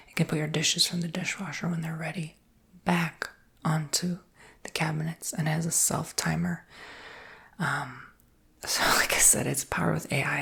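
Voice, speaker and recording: An American man whispering an ASMR roleplay as a salesperson, softly and reassuringly explaining a product without hurry, in a breathy, husky whisper. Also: a little high. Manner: soft, unhurried, reassuring explaining.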